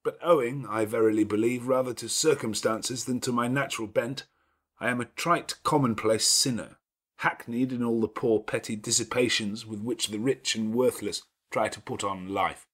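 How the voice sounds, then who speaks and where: A man voicing a brusque, imperious, self-reproaching gentleman in an audiobook of a classic novel, with emphatic, commanding delivery. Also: mid-range voice, steady tone, normal speed, slightly rough. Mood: earnest, insistent.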